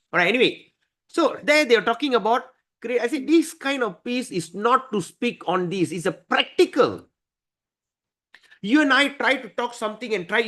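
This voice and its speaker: A man's voice: high-pitched, a bit bright. A man teaching a scripture study class, emphatic and often asking his listeners "right?".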